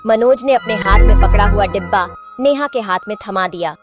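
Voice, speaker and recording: A woman's voice: medium pitch, normal speed, music in the background. A woman narrating a scripted moral tale for children.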